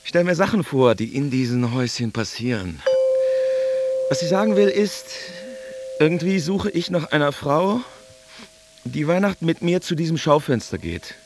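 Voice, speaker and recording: An actor playing a lonely, childlike dreamer in a conversational radio drama monologue. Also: male voice, on the high side, very animated, normal speed. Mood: wistful. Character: lonely, childlike dreamer of a man, freshly separated.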